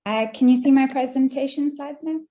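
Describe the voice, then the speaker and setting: A young Irish woman, a guest speaker, presenting a lecture over a video call in a measured, explanatory way with frequent ums. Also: sits high, mellow. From Ireland.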